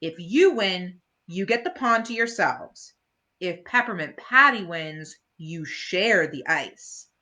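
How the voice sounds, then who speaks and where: A woman's voice: fairly low pitch, expressive, slow pace, sharp tone, heavily acted. A woman voicing a friendly, upbeat, fair-minded young boy in a storybook, keen and encouraging. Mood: diplomatic. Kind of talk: storybook character voice.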